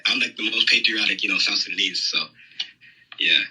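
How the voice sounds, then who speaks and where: Young male caller, bright rough voice, fast and full of fillers. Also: fairly low pitch, rough recording. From United States. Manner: hesitant, many "you know" and "um" fillers. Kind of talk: phone-in conversation.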